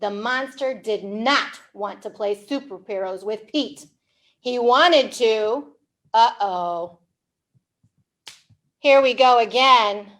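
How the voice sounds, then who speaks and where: A woman hosting a storytime for young children, reading aloud in an animated way with simple, exaggerated, encouraging questions. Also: fairly high pitch. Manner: slow, simple wording for English learners. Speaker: children's storytime librarian host.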